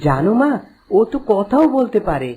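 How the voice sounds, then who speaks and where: A woman's voice: mid-range voice, big pitch swings, normal speed, mellow, husky. Woman voicing a curious young village boy. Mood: earnest. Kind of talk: children's cartoon story dialogue.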